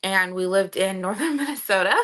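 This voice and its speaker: A woman's voice: medium pitch, brisk, fairly bright tone. A young adult woman from Cameroon, a podcast guest telling her story in a chatty way with many fillers. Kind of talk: casual podcast interview.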